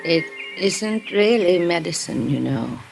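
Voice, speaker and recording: An actress playing a fragile older invalid wife in a 1950s radio drama, speaking in a slow, dreamy, withdrawn way. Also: female voice, fairly low pitch, lively intonation, gravelly, music in the background, heavily acted. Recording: noisy old radio broadcast.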